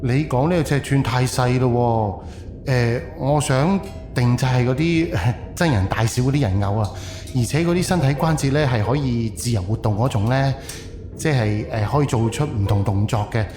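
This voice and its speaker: A man's voice: mid-range voice, normal speed, music in the background, lightly acted. A man playing a polite, earnest young haunted-house owner in a Cantonese audio drama, speaking conversationally. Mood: businesslike.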